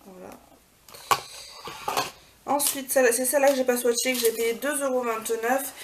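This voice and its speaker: A chatty woman vlogger walks through her beauty haul, pausing on fillers and correcting herself as she goes. Her voice sits a little high, and she sounds set back from the mic. Steady background noise is clearly heard. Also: recorded from a distance. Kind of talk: casual haul video.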